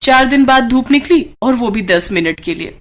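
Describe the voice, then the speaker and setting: A woman's voice: on the high side, expressive, close to an everyday voice. A woman playing a busy, scolding but caring young daughter-in-law and mother in a family moral story. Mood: brisk, exasperated. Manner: brisk, fussing. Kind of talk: acted moral-story dialogue.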